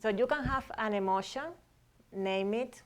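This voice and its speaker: A woman from Cuba speaks as a guest doctor on a talk show. She lays out her ideas on emotions and behavior step by step in a steady, explanatory way. Her voice sits at an ordinary middle pitch. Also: moderate pace.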